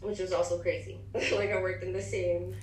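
A twenty-one-year-old woman chatting casually as a podcast guest, halting, with many fillers and restarts. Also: on the low side, flat delivery, darkish tone, far from the mic. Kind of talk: casual chat. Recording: echoey room, off-mic, faint hiss.

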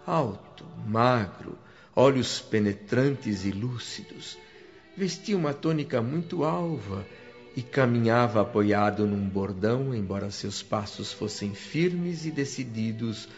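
A man's voice: mid-range voice, lively intonation, slow. A man with a Brazilian accent playing a reverent newcomer who recounts his awe in solemn radio drama narration. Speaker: narrator and lead actor. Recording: dull lo-fi radio drama, music behind. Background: music.

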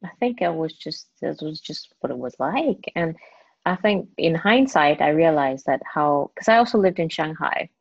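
A young woman from Hong Kong, Cantonese her first language, answering interview questions in a soft, hesitant way with many fillers. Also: low-pitched, animated, normal speed, dry recording, highs cut off. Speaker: guest.

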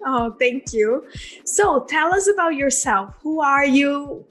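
High-voiced woman podcast host. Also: expressive, slow, fairly bright tone, faint music behind. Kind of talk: podcast interview.

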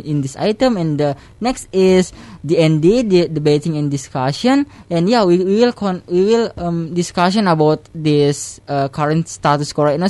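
A man with an Indonesian accent, a radio guest explaining his English club in halting, hesitant English. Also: on the high side, darkish tone, no echo. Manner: hesitant, many fillers and restarts in halting English. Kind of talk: casual radio talk show chat.

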